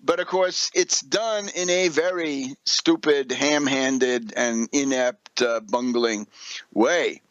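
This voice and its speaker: A man's voice: medium pitch, slow, no room sound, rough recording. A man giving an unscripted political commentary as a radio host, scornful and sardonic, hammering points home with repetition and rhetorical questions.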